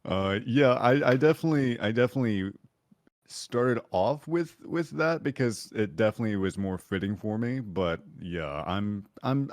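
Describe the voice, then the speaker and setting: A man with a smooth, buttery voice chatting casually as a guest on a podcast about voice acting. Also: lowish voice, a little mellow, no room sound, studio quality.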